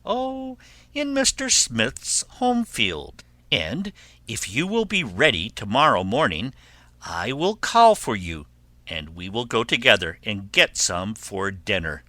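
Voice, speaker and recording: Man voicing a sly, blustering storybook wolf, sharply forceful. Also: fairly low pitch, very animated, dry recording, full character voice. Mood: sly coaxing. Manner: drawn-out, coaxing, huffing delivery. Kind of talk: children's fairy tale character voice.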